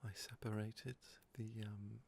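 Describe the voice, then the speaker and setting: A man speaking softly and often in a whisper for an ASMR video, his voice a bit hoarse, rambling with many pauses and fillers. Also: on the low side, level tone, slight background noise. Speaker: ASMR creator. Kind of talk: soft-spoken ASMR talk, whispering.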